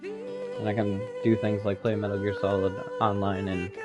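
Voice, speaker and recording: A man's voice: on the low side, wildly swooping pitch, moderate pace. A man livestreaming a video game, talking casually in an earnest, sentimental and reflective way. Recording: loud music behind the voice. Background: game credits music.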